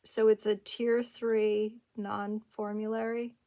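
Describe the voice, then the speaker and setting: A woman's voice: medium pitch, flat delivery, slow, warm tone. A woman on a customer service call as the customer, speaking in an assertive, matter-of-fact way. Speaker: customer calling a pharmacy help desk. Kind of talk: customer phone conversation.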